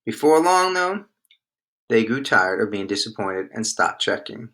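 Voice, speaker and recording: A man reading a children's storybook aloud in an expressive storytelling manner. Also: on the low side, measured pace, gravelly. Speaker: storybook reader.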